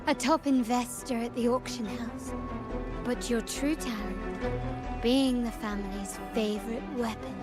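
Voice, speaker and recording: Slow, taunting phantom villainess, higher voice. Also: female voice. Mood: silky taunting. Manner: theatrical, mocking, slow and deliberate. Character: taunting, seductive woman, a sinister phantom born of someone's mind. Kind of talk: scripted game villain dialogue. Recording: music behind the voice.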